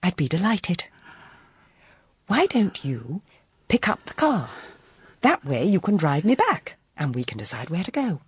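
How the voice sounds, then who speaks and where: An actress playing an educated, composed 1930s London private detective, articulate and earnest, in a radio drama. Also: female voice, on the low side, wildly swooping pitch, a little slow, pauses often, husky, dry recording, clean studio sound, full character voice. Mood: flustered, pleased.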